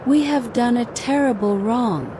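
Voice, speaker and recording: A woman narrator plays a village chief in a folktale, humbled and regretful. The words come slowly and earnestly, with clear noise behind them. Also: on the high side, animated, voice pushed up. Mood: sorrowful. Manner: earnest, heavy with sorrow.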